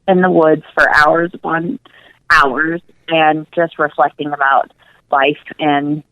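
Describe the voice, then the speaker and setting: A woman's voice: low voice, steady tone, slow pace, no echo, narrow, tinny audio. A woman speaking as a guest in a casual radio interview, earnest and emphatic, repeating words for emphasis. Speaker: interview guest, nutrition coach.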